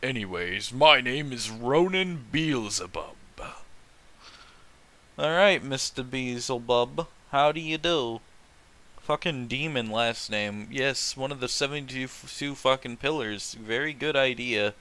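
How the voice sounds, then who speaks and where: Male online host, bright and unhurried delivery, casual mocking read-aloud, profane, incredulous reactions. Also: mid-range voice, lively intonation. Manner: foul-mouthed, incredulous, breaks off to react. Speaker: host reading fan fiction aloud and commenting.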